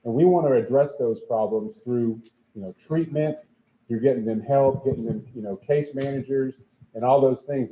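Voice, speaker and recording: Plain-spoken man in a roomy hall. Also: mid-range voice. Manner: casual, plain-spoken, repeats words. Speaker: police official. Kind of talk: remarks at a town hall. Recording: roomy, dull sound.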